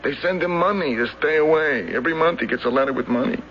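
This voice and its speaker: A man's voice: fairly high pitch, quick pace, close to an everyday voice. A man acting in a 1970s radio drama as a brash, streetwise gossip, slangy and blunt. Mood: gossipy, dismissive. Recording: dull, noisy 1970s radio broadcast.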